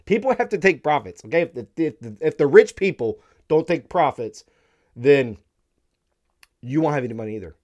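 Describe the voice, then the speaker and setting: Hoarse male stream host, fading voice, blunt and wry. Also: a little high, expressive, normal speed, darkish tone, muffled recording. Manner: blunt, wry, breaks into laughs. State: hoarse, losing his voice, coughing. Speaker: crypto live-stream host. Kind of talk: casual opinionated commentary. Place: dark studio room, indoors. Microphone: studio mic on stand in front.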